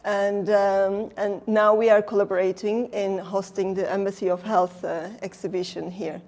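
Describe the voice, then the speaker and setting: A middle-aged woman from Turkey with a Turkish accent, a design researcher talking casually in an interview, pausing often with fillers. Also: medium pitch, flat delivery, darkish tone. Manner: thoughtful, many fillers, laughs often. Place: design exhibition venue.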